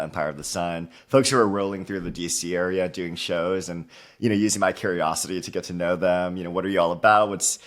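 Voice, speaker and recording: A man from Hawaii, in his thirties, chatting as a guest in a podcast interview, upbeat and full of "you know" and "like". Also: low voice. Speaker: guest author. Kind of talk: casual interview conversation.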